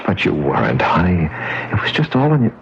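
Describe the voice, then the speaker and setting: An actor playing an earnest, concerned fiancé in a radio mystery, with a dramatic manner. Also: male voice, deep voice, wildly swooping pitch, brisk, creaky, background noise, exaggerated voice. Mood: reassuring. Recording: dull lo-fi radio with music.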